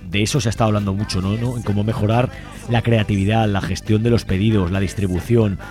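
Spanish guy on community radio, talks quick, keeps checking in with little question tags, music underneath. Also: lowish voice, dark tone. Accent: Spanish from Spain. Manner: frequently ends phrases with a questioning tag. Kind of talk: informal radio report.

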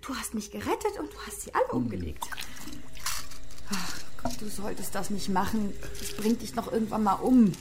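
A woman's voice: mid-range voice, expressive, normal speed, background noise, natural voice. An actress playing a long-suffering, soothing woman with a wry, coaxing and exasperated manner in a radio drama. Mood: coaxing, worried. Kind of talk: German radio drama acting.

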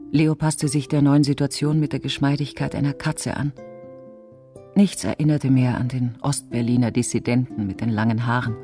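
A low-voiced, self-possessed woman. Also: mellow. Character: reflective, self-possessed woman looking back on her marriage. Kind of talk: radio drama dialogue and first-person narration.

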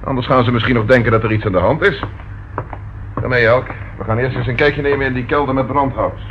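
An actor playing a brisk, commanding and suspicious police inspector in a radio play, giving clipped orders and probing questions. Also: male voice, medium pitch, fairly even intonation, lo-fi sound, lightly acted. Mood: stern, probing. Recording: noisy old radio drama recording.

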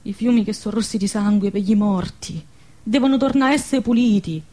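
A woman's voice: mid-range voice, fairly quick, dark tone, close to an everyday voice. An actress playing a defiant, pregnant young village woman in a radio drama, anguished and impassioned, with a southern Lazio dialect. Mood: resolute, impassioned. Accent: southern Lazio Italian dialect.

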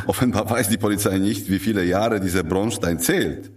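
An actor playing a genial, modest old doctor in a radio play, with an affable and wry manner. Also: male voice, lowish voice, measured pace, natural voice. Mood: affable, explaining. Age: older. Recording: slightly distant from the mic.

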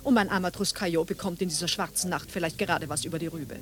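An actress playing a wry, eccentric widow in a radio play, melodramatic and darkly amused. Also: female voice, lowish voice, steady tone, fast talker, close to an everyday voice. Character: wry, eccentric widow engaged to marry again.

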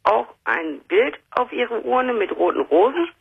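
Woman caller, high lively voice, gravelly, husky, muffled phone line. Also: measured pace. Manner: chatty, animated storytelling. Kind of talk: late-night call-in talk.

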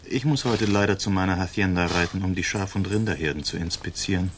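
A man's voice: low-pitched, fast talker, slight background noise. An actor playing a languid, faint-hearted young nobleman in a radio drama, polite and formal in manner. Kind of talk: German radio drama acting.

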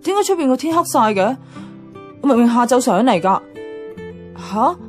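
An actress playing a feisty, grumbling young flat-hunter with a Hong Kong Cantonese accent, expressive and slangy in a radio drama. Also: female voice, on the high side, normal speed, faint music behind. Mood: confused.

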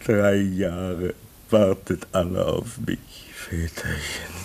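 A man's voice: sits low, fairly even intonation, slow, vocal fry, a little mellow, slight background noise, full character voice. An actor in a German radio play, playing an embittered, homesick man stranded in the Arctic, brooding and anguished. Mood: wistful, longing. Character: embittered, homesick husband stranded at a polar station.

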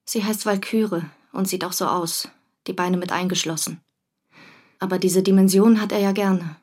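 Flat-toned, wounded young mistress, acted by a woman. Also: lowish voice, normal speed, natural voice. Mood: wounded, bitter. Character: young jealous mistress reading from her diary, wounded. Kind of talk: acted diary reading.

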